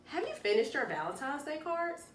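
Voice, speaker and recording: A woman's voice: high-pitched, sing-song, normal speed, pitched above the performer's own voice. A woman voicing a cheerful, encouraging young female cat, emphatic and reassuring, in a children's storybook read-aloud. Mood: insistent. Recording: roomy, distant mic, faint noise.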